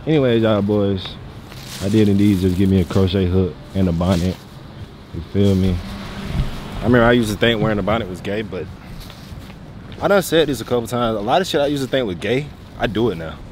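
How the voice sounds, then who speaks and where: A man's voice: on the low side, brisk, long pauses, darkish tone. Laid-back young Atlanta man vlogging. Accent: Atlanta Southern US. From Atlanta, Georgia. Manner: laid-back slangy banter to camera. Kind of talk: casual vlog chat. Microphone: phone camera held low. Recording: phone recording with clear background noise.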